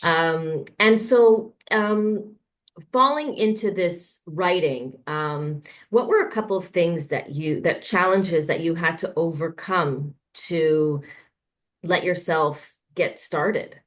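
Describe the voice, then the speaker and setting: A woman's voice: on the low side, slow. A woman hosting a podcast, musing in a rambling way with many fillers before she asks her questions. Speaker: podcast host. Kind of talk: casual interview conversation.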